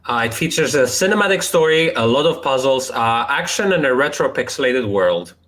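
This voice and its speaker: A man's voice: mid-range voice, expressive, fairly quick, fairly bright tone. Young greek guy talking about his game, lots of uhs, kinda hesitant. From Greece. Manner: hesitant, many uh fillers. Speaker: game developer. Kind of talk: presenting his indie game.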